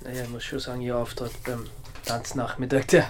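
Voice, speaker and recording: An actor with an Austrian accent playing a hesitant, awkward amateur sleuth in naturalistic radio drama dialogue. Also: male voice, medium pitch, flat delivery, close to an everyday voice. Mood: awkward, defensive. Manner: hesitant, halting, apologetic.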